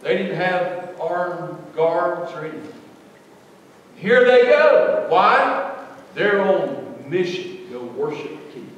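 A middle-aged man preaching a sermon, swinging between loud, emphatic lines and soft ones. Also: high voice, big pitch swings, takes it slowly, slight background noise. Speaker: pastor. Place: large church sanctuary. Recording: echoey hall, voice from far off.

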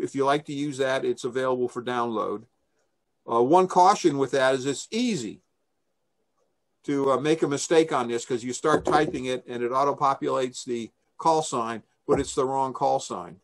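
Older male presenter, conversational and enthusiastic, mid-pitched voice, informal online briefing. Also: normal speed. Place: small room indoors, on a video call. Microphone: video call microphone.

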